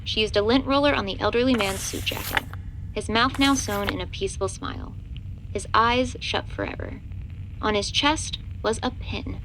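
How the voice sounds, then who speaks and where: A woman's voice: medium pitch, moderate pace, background noise. A woman narrating a scripted audio drama in the third person.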